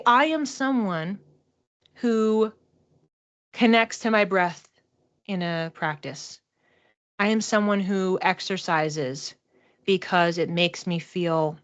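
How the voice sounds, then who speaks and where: Woman, mid-pitched, intuitive reader and coach. Encouraging, emphatic, informal. Slightly muffled lo-fi capture. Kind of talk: informal personal oracle card reading. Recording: slightly dull, lo-fi recording.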